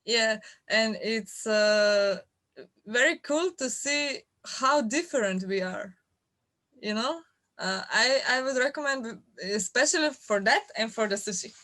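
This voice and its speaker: A young woman from Slovenia, a guest athlete chatting in an interview, hesitant with many fillers and quick to laugh. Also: a little high, bright, forceful tone. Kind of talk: casual interview chat.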